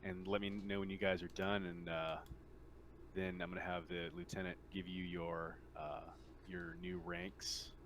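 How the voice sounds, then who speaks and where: A man explaining tabletop game rules casually as game master, in a halting, hesitant way. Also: deep voice, little pitch movement, a bit bright, a little hiss or hum.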